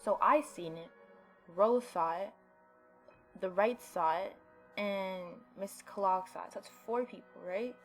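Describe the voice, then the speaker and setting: A woman's voice: medium pitch, a little slow, soft background music. A woman hosting a gaming video, reading visual novel narration aloud in a dramatic storytelling way with playful asides.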